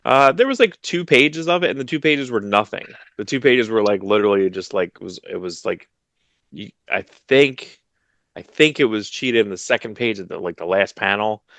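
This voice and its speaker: A man's voice: animated, moderate pace, fairly bright tone, no room sound. A man in his thirties co-hosting a comics podcast from home on a headset, rambling casually with lots of restarts. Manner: rambling, many restarts, low-key. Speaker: podcast co-host. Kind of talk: casual chat about comics news. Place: home room, video call.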